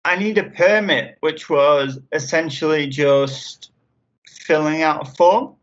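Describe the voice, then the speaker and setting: A man from England answering interview questions casually, halting with frequent ums and restarts. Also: fairly high pitch. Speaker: interview guest. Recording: dull lo-fi sound.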